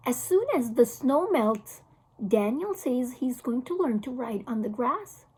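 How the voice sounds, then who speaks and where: A woman's voice: fairly high pitch, expressive, measured pace, a little mellow, breathy edge, exaggerated voice. A woman voicing a patient, practical schoolboy older brother in a children's storybook, casual and questioning. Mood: reassuring.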